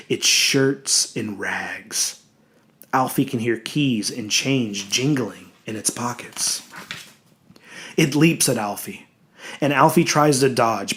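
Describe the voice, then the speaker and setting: A man reading a horror story as dramatic, scripted narration, deliberate and suspenseful, dwelling on his words. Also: medium pitch.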